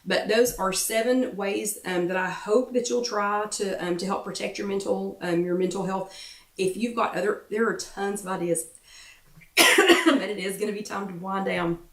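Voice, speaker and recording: Chatty woman with a croaky voice. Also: medium pitch, normal speed, fairly bright tone, recorded from a distance. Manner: chatty, repeats herself for emphasis, many ums. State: voice getting croaky. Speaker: wellness workshop host. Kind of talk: casual motivational chat to viewers. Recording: roomy echo, away from the mic.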